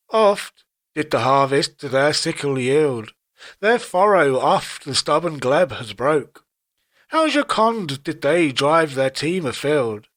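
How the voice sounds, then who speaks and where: A man reciting classic poetry in a solemn, formal style, with measured and deliberate phrasing. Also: a little high, a bit bright. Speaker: poetry reader.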